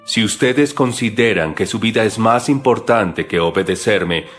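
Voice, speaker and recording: Man acting solemn male teacher, lowish voice, measured, authoritative, Bible audio drama, soft music underneath. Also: animated. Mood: solemn, calm.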